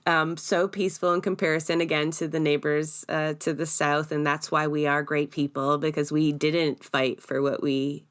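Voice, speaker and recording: A woman's voice: sits low, level tone, moderate pace, few pauses, clear, resonant tone. A Canadian woman hosting a podcast, speaking in a conversational political discussion. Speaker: podcast host.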